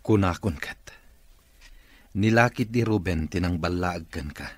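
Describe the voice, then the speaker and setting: An actor with an Ilocano accent playing a wealthy, authoritative boss in a radio drama. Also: male voice, sits low, measured pace, no room sound. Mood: stern, resolute. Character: wealthy recovering boss, stern and resolute.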